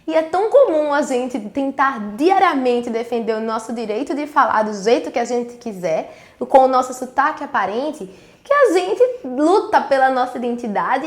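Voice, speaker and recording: A woman teacher with a Northeastern Brazilian accent explains earnestly and speaks directly to her pupils. Her voice sits a little high, and the recording has a roomy echo. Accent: Northeastern Brazilian, Pernambuco. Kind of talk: recorded school video lesson. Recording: echoey room.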